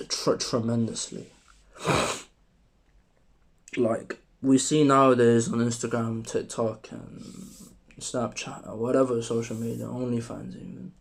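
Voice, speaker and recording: A young man with a sore, strained throat, talking casually to camera in a rambling, profane monologue while trying to keep his voice deeper. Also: creaky. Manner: rambling, profane, relaxed and deliberately deeper delivery. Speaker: vlogger.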